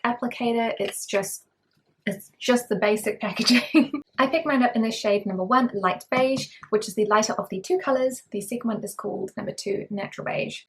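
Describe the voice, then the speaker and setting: Husky-voiced woman chatting at home. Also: mid-range voice. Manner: chatty, frequent fillers. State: voice lowered by illness. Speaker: beauty vlogger. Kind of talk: casual product review. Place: at home indoors.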